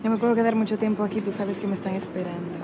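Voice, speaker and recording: A woman's voice: medium pitch, fairly even intonation, very fast, heavy background noise, close to an everyday voice. A woman playing a polite, guarded lady singer. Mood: hurried. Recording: music behind the dialogue.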